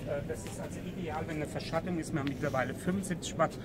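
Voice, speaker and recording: A man's voice: on the high side, racing. A man explaining a product at an outdoor fair stand, walking through the numbers step by step. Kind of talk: casual explanation at a trade fair. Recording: outdoor recording with clear background noise.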